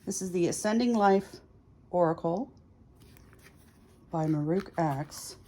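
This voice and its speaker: A woman's voice: fairly low pitch, slow, mellow, slight background noise. A woman hosting a tarot reading, chatting casually and thinking aloud in short, musing phrases. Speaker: tarot reader hosting a video series.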